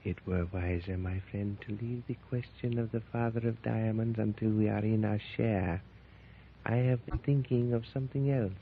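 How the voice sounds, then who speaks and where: Brief: a scheming adventurer, lowish, measured and sly, on a tinny radio track. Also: male voice, vocal fry, a little hiss or hum. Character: smooth scheming Egyptian adventurer, adult man. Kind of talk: 1930s radio drama acting. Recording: tinny old 1930s radio recording.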